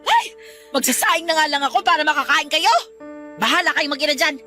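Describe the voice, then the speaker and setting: A woman's voice: high-pitched, a little fast, sharp tone, soft background music. A woman playing an older grandmother and mother of the heroine, nagging and scolding in a radio drama. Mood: scornful.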